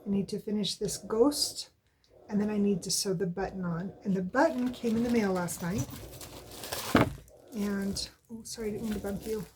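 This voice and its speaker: A woman's voice: medium pitch, fairly even intonation, moderate pace, noisy background. A woman with a stuffy nose from allergies, chatting casually at home in a weekly crafting update, rambling and often correcting herself. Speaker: cross-stitch vlogger. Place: at home, indoors.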